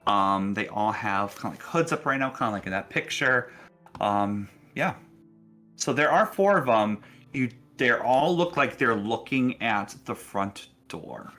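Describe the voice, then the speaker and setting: Bright-voiced man running a tabletop game. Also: on the low side, expressive, unhurried, faint music behind. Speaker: game master of a tabletop role-playing game. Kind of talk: improvised game narration, casual.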